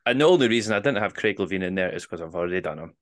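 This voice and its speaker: Low-voiced Scottish podcast quiz host, a man. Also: lively intonation, very fast. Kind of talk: reading quiz clues and scores, informal.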